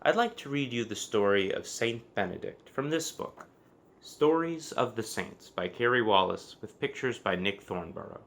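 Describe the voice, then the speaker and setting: A man narrating a children's story from a book, with expressive, measured phrasing and deliberate emphasis. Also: mid-range voice. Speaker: storybook reader.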